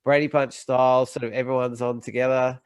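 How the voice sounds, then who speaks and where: A man's voice: medium pitch, flat delivery, brisk, smooth, no echo. A man from the United States hosting a podcast interview over a video call, rambling with many fillers and restarts. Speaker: podcast host. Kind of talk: casual interview chat.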